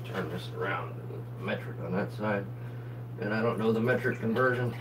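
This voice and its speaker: A man cooks in his home kitchen and chats easily with viewers on a live stream, his low voice gone hoarse from a coming cold. The phone picks him up from across the counter. A steady hum sits under everything. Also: normal speed, far from the mic. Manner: chatty, greets viewers by name while cooking. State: voice going hoarse, getting sick. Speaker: home cook livestreaming. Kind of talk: casual cooking livestream. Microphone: phone camera.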